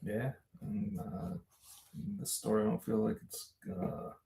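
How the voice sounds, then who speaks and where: Very low, creaky, casual woman. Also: little pitch movement, takes it slowly, mellow, a little hiss or hum. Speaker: companion listening to the story. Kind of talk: casual chat.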